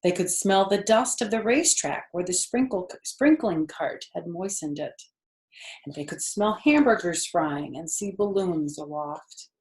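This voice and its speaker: A woman's voice: fairly low pitch, unhurried, a little mellow. An older woman reads a children's book aloud expressively, in a grandmotherly way, with chatty explanations for young listeners. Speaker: storyteller reading to children. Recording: echoey room sound.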